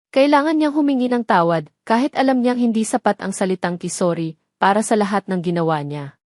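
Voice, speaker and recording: A woman's voice: medium pitch, a little mellow, no echo. Woman narrating a dramatic story, dry sound.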